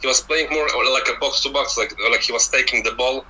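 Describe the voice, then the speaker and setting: A man's voice: mid-range voice, steady tone, brisk, bright, forceful tone, husky, poor audio. A man with a Polish accent, a native Polish speaker, giving casual football analysis as a scouting guest and often stammering over repeated words. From Poland.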